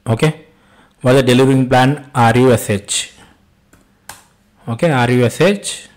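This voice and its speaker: A man with an Indian accent giving an off-the-cuff software tutorial as an instructor, repeating himself and posing short questions that he then answers. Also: mid-range voice, moderate pace. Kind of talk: step-by-step screen-share tutorial, spoken off the cuff.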